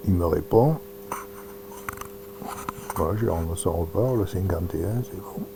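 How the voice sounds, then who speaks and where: A man giving an informal live demonstration, talking haltingly as he thinks aloud through each step. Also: deep voice, gravelly, noisy background. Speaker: presenter demonstrating amateur radio software.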